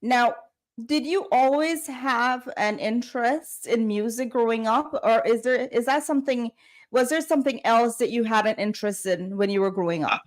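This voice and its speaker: High-voiced woman hosting an interview. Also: moderate pace. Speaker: interview host. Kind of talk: conversational interview.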